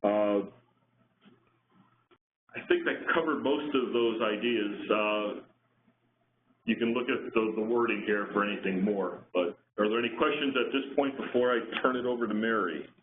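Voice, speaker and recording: A man lecturing over a conference call, his voice sitting low. He talks with frequent restarts and fillers, working through ideas aloud. The phone line is thin and tinny with a faint hiss behind. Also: normal speed. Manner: hesitant, many restarts, self-deprecating. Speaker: presenter on marine acoustics.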